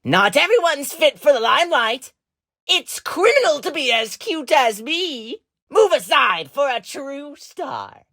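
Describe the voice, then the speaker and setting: A woman's voice: sits high, big pitch swings, a little slow, fairly bright tone, no room sound, clean studio sound, exaggerated voice. A woman voicing a vain, smug celebrity animatronic in a video game, haughty and dismissive. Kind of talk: video game character voice lines.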